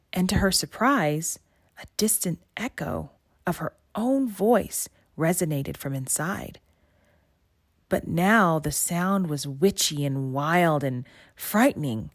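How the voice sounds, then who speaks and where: A woman narrates a children's story in a low voice. She takes her time, with expressive phrasing and dramatic pauses. The sound is very dry. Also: dry recording. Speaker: storybook reader. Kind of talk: scripted read-aloud narration.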